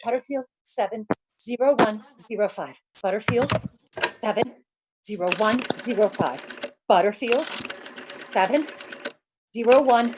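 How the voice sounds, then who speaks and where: A woman's voice: fairly high pitch, measured pace, pauses often, noisy background, lightly acted. A woman playing an anxious, demanding, self-pitying invalid wife in old-time radio suspense style, stammering nervously and repeating herself. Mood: agitated, insistent.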